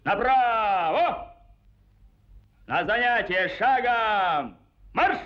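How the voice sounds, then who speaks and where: A man voicing a hearty, commanding naval officer in an animated cartoon, with exclamatory military delivery. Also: drawn-out words, heavily acted. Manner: booming, exclamatory military orders. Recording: muffled old film soundtrack with music.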